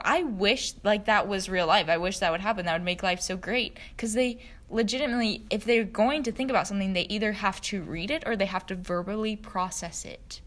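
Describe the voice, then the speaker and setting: A woman's voice: mid-range voice, a bit bright. A woman with a Virginia accent co-hosting a podcast in easygoing, casual chat, laughing often. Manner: giggly, easygoing. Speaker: podcast co-host.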